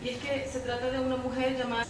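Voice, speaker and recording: A woman's voice: high-pitched, little pitch movement, fast. A woman giving polite, orderly remarks as a formal panelist. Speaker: panelist, psychologist. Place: large echoey room at a public event. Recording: echoey hall, distant mic, clear noise.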